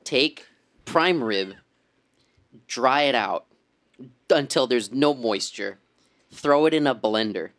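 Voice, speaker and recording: A man bantering casually as part of a podcast cast. His voice is mid-pitched and his delivery is dryly dramatic. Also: lively intonation, slow pace, pauses often, fairly bright tone.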